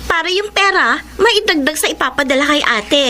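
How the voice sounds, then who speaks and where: A woman's voice: high voice, animated, moderate pace, pushed and bright, a little hiss or hum. An actress playing a cheerful, patient schoolgirl and devoted little sister in a radio drama, girlish and easygoing. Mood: bright.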